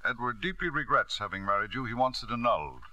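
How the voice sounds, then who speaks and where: Domineering middle-aged lord, very bright forceful voice, lively swooping pitch, polished, insistent, old radio drama. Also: male voice, fast, no room sound, poor audio. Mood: smooth, businesslike. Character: domineering, smooth British lord, middle-aged father of grown son. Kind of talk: 1950s radio drama acting.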